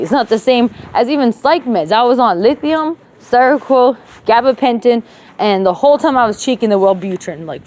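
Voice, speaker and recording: A woman's voice: a little high, darkish tone, slight background noise. A woman with a rural Levantine Arabic accent in her Arabic, talking to herself in an emotional, profane, rambling monologue that jumps between Arabic and English. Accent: rural Levantine Arabic when speaking Arabic.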